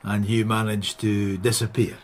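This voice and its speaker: An actor playing a tired, dogged police inspector with a Scottish accent, dry and probing, in a radio drama. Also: male voice, on the low side, flat delivery, measured pace. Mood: measured, probing.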